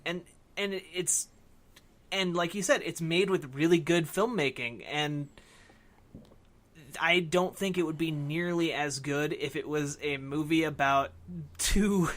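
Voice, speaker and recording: Man, podcast co-host, fairly high pitch, sharp bright tone, halting self-corrections, casual discussion.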